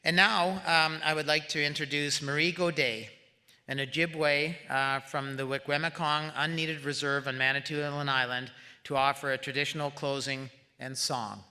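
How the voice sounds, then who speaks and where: Bright, sharp-voiced man addressing a conference. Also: on the high side, steady tone. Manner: hesitant, halting, rallying the audience. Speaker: summit host giving closing remarks. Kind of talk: public closing speech.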